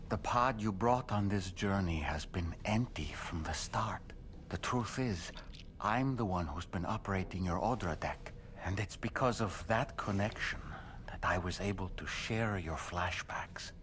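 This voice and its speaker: An actor playing a gentle, grave male therapist in a video game, speaking softly in measured, careful phrases. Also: sits low, slight background noise. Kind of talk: video game cutscene acting.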